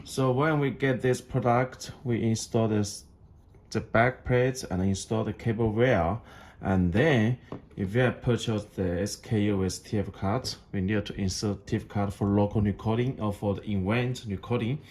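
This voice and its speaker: A man who speaks English as a second language gives an unscripted step-by-step product demonstration, halting and repeating phrases as he goes. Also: lowish voice, a little mellow. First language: not English.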